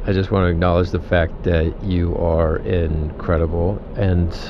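A man's voice: sits low, little pitch movement, a little slow. An actor playing a middle-aged husband and father, earnest and eager to please, in a naturalistic, stammering delivery. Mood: earnest, remorseful. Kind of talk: naturalistic audio drama acting. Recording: dull sound with traffic noise.

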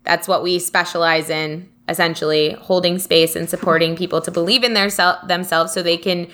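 Bright-voiced woman co-hosting a casual podcast. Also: on the low side, steady tone, fairly quick. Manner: informal, candid, peppered with "like". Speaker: podcast co-host. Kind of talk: casual conversational podcast chat.

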